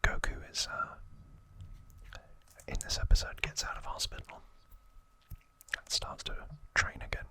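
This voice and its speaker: A man hosts ASMR in a breathy whisper with no real voicing. He goes very slowly, pausing often as he casually recaps a story. The whisper has a bright, crisp edge. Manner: slow, halting, casual explaining. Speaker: ASMR host.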